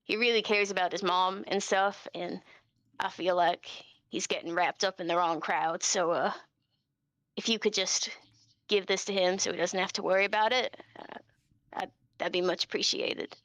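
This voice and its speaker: A woman's voice: on the low side, steady tone, moderate pace, a bit bright, dry recording. A woman playing a tabletop game, improvising casually in a hesitant, informal way. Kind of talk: casual improvised role-play.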